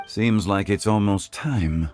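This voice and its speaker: A man playing a refined, composed gentleman in an anime game, speaking formally and politely with measured courtesy. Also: lowish voice, soft background music. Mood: calm, formal.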